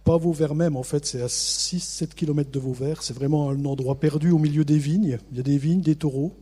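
A middle-aged man from the Vosges with a local accent, an author answering interview questions in a relaxed, self-mocking way with many hesitations. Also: on the high side, a little fast, breathy edge. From Vosges, France. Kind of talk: radio interview.

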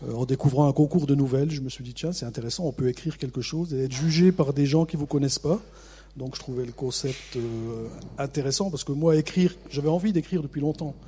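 A middle-aged man from the Vosges with a local accent, an author answering interview questions in a relaxed, self-mocking way with many hesitations. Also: medium pitch, fast talker, a little hiss or hum. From Vosges, France. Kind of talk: radio interview.

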